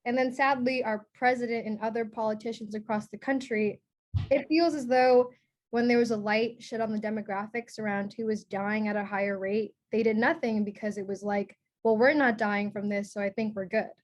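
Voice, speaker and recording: A woman playing an outspoken, higher-voiced frustrated woman. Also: fairly even intonation, moderate pace, lightly acted. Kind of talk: documentary theater, acted as group discussion.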